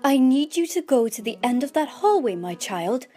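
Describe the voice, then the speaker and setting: A flustered, motherly goat monster from a cartoon dub, acted by a woman. Her voice is very high and leaps wildly in pitch. She is exaggerated and excitable, with music softly behind. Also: moderate pace. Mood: gentle, instructing. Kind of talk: comic dub cartoon acting.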